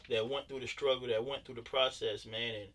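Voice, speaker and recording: A man's voice: mid-range voice, steady tone, fairly quick, a bit bright, far from the mic, a little hiss or hum. A man hosting a podcast, asking questions and affirming his guest in casual, encouraging talk. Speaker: podcast host.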